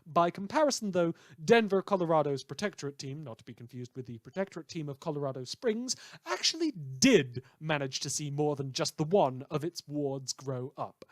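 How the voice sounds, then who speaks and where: Man playing a wry radio news presenter, audio drama, higher-pitched male voice, very animated intonation, theatrical, chatty sardonic asides. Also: dry recording. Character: opinionated, indignant, wry male small-station radio presenter. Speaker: fictional radio news host.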